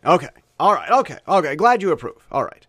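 A middle-aged man hosting a podcast, talking in a rambling, animated monologue full of restarts. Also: on the high side, fast talker, no echo, clean studio sound. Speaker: podcast host. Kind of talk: casual monologue. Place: Taiwan.

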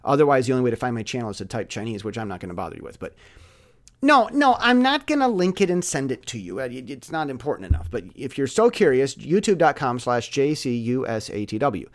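A man speaking in a casual, rambling monologue, excitably repeating words and phrases for emphasis. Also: mid-range voice, fast talker. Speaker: musician with an online channel.

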